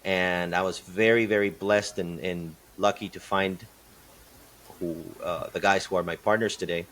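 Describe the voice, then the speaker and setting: Deep-voiced man, thoughtful guest. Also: fairly even intonation, moderate pace, fairly bright tone, slight background noise. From Peru. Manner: thoughtful, many fillers and restarts. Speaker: podcast guest, construction company partner. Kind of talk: conversational interview answers.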